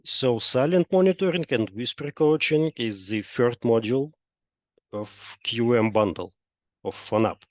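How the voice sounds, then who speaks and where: Male webinar presenter, unhurried and halting, explanatory delivery, slightly dull lo-fi sound. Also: medium pitch. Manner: halting, explanatory, frequent pauses and hesitations. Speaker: software company presenter. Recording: dull lo-fi roomy online webinar audio.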